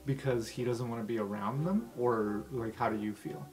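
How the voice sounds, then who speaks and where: Male game master, mid-pitched, conversational tabletop narration with music behind. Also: moderate pace, darkish tone, low-quality recording.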